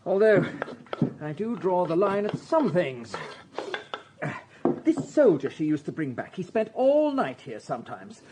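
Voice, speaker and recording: A shifty hotel proprietor for a radio crime drama. He is arch, catty and quick to take offence, with an animated, swooping delivery. There is faint noise behind the voice. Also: high voice. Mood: catty, gossipy. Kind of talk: British radio drama acting.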